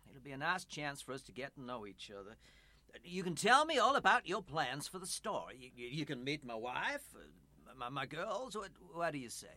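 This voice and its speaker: An actor as an earnest pastor, bright lively voice. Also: male voice, a little high, moderate pace, no room sound, full character voice. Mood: earnest, pleading. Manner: hesitant, repeating words as he reassures. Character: kindly, earnest male pastor and family man. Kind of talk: radio play acting.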